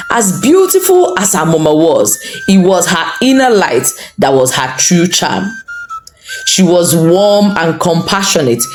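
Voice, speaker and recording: A Nigerian woman narrates a scripted folktale with dramatic expression. Her voice is on the low side and swings widely in pitch as she takes her time over each phrase. Soft music plays behind her. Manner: measured, expressive. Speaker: storyteller. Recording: roomy echo under light music.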